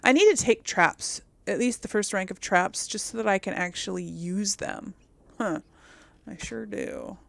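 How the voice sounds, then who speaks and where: A woman's voice: medium pitch, a little slow, gravelly, dry recording. A woman giving casual, chatty gameplay commentary as host, musing aloud and often trailing off. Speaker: gaming video host.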